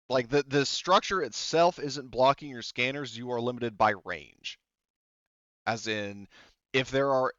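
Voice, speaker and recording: A man's voice: mid-range voice, fairly bright tone, no echo. A man running a tabletop game as game master, explaining rules in a rambling way with many fillers and restarts. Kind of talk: casual tabletop game narration. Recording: dull lo-fi audio.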